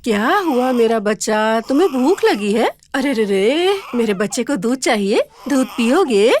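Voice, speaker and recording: A woman's voice: high-pitched, very animated, brisk, fairly bright tone, slight background noise. A woman voicing a cruel cartoon stepmother who scolds shrilly and dotes on her own son. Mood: doting. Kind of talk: cartoon voice acting.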